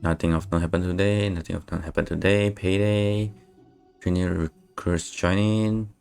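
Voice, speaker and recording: A man's voice: low voice, level tone, normal speed, vocal fry, a little mellow, clean tone. A man streaming a game, reacting with short, murmured comments and reading text aloud. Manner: terse, murmured acknowledgements. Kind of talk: casual play-along comments. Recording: over faint game music.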